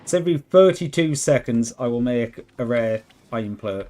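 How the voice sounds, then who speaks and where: A man streaming a game live with a worn, hoarse voice, thinking out loud and chatting with viewers. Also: mid-range voice, expressive, normal speed, a little hiss or hum. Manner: thinks aloud, chats with viewers. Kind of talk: relaxed live gameplay commentary. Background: faint background music.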